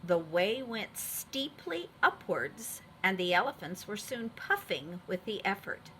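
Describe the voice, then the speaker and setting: Female preschool teacher for picture-book reading: slowish, lively intonation, expressive, addressing small children. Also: mid-range voice, a little hiss or hum, low-quality recording. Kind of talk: children's storybook narration.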